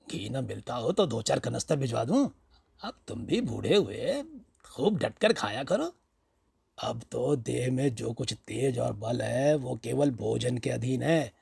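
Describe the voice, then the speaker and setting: A man voicing a hearty, flattering old villager in a storybook reading, coaxing and pleading in a familiar, emphatic way. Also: mid-range voice, gravelly, breathy edge, dry recording, clean studio sound, exaggerated voice. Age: older.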